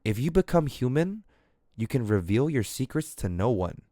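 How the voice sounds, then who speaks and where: Lively-pitched man streaming a game. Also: normal speed, no room sound, studio quality. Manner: casual, slangy, mild swearing. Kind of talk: game livestream commentary, reading in-game text aloud.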